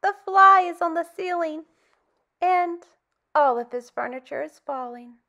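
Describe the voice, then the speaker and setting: A woman in her thirties hosting a read-aloud for preschoolers, with sing-song, exaggerated, animated enunciation. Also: very high-pitched, measured pace, gravelly, muffled recording. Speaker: storytime host for young children.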